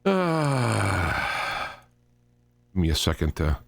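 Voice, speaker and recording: A man hosting a talk radio show solo, speaking off the cuff in a halting, rambling way, often repeating and restarting phrases. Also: on the low side, big pitch swings, creaky, a bit bright, dry recording.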